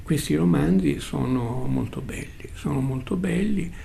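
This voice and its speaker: Older man, very gravelly, slow. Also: on the high side, dark tone, a little hiss or hum. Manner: halting, reflective, with repetitions and long hesitations. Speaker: radio podcast host. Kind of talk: spoken reminiscence, literary commentary.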